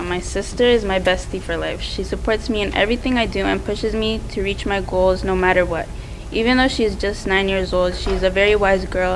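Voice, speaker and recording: Teenage female student, prepared personal speech, mid-range voice, faint noise behind. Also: medium pitch. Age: teens. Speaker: student award presenter.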